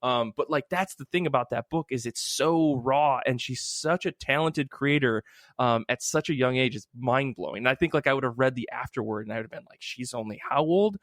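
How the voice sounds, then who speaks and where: A man's voice: mid-range voice, fairly quick, a bit bright, dry recording, studio quality. A man hosting a casual podcast chat about comic books, speaking in an effusive, emphatic way with casual swearing. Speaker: podcast host.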